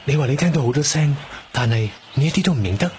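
A man's voice: medium pitch, expressive, fast talker, noisy background, lightly acted. An actor in a radio drama playing a patient, guarded young male doctor who questions in a Hong Kong accent. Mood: intrigued questioning. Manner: questioning, evasive. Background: prominent music.

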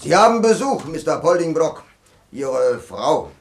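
An actor playing a gruff, loud male prison warder in a satirical radio crime comedy. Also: on the high side, sing-song, unhurried. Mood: brisk, impatient.

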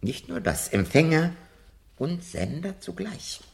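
An actor playing a timid, anxious old literature professor in a 1970s German radio play. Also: male voice, on the high side, big pitch swings, takes it slowly, gravelly, heavily acted. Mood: earnest. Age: older.

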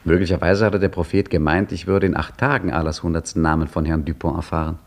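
A man's voice: deep voice, fairly even intonation, fast talker, gravelly. An actor playing an earnest Egyptian man searching for Allah's hundredth name in a 1950s radio drama, insistent in dialogue and wry as he narrates.

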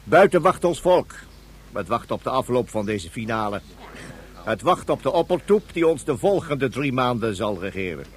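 An actor playing a pompous ceremonial game master in a radio play, with formal, theatrical proclamations. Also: male voice, mid-range voice, very animated, normal speed, lightly acted. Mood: solemn, proclaiming. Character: pompous ceremonial game master addressing a ruling card champion.

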